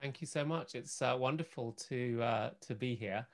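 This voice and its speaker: A man in his forties speaking as a panelist on a video call, measured and articulate with frequent fillers. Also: mid-range voice. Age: 40s. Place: indoor home room. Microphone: video call webcam.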